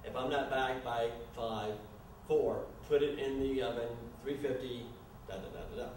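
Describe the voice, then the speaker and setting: A man narrating stage directions at a live staged reading in an informal, halting way. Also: mid-range voice, darkish tone. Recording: echoey, noisy room from far off.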